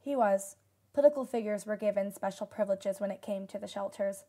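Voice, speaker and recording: A woman's voice: mid-range voice, brisk, mellow, close to an everyday voice. A young woman playing a solemn nineteen-year-old disaster survivor giving testimony in a radio drama. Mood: somber, reflective. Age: young adult.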